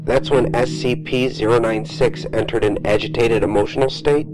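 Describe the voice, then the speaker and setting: Guy playing calm clinical interviewer, mid-pitched, formal n probing, audio drama with music behind. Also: normal speed, lightly acted, low-quality recording. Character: calm, clinical male interviewer debriefing a soldier. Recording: voice over background music.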